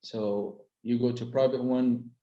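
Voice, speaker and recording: A man's voice: mid-range voice, steady tone, a little slow, warm tone, roomy sound, dull audio. A middle-aged man, a tour guide whose first language is Arabic, talking casually with lots of "uh" and restarts. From Holy Land. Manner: hesitant, many fillers and restarts. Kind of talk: casual conversation.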